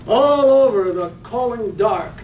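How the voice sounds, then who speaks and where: A man playing the lyrical, measured narrator in a staged reading of a poetic radio drama. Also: sits high, lively intonation, lo-fi sound. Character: lyrical, measured storyteller of a night-time town. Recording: roomy, distant mic, faint noise.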